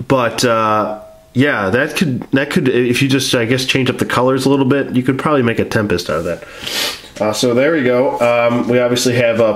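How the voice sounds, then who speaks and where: A man with a middle-pitched voice, sick and stuffy-nosed, talks casually about his action figures. He rambles and hesitates, filling gaps with ums and uhs as he goes. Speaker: toy collector reviewing action figures. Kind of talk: casual review chat to camera.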